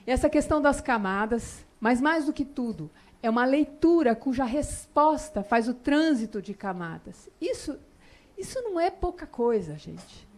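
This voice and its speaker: Woman, high voice, lively expressive panelist on an auditorium stage. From Brazil. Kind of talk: enthusiastic panel talk.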